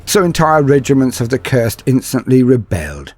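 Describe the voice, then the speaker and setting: A man's voice: medium pitch, big pitch swings, normal speed, a little mellow, voice pushed up. A man voicing an eager young space marine soldier on his first heavy-weapons mission, telling his story earnestly in first person. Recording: faint music underneath.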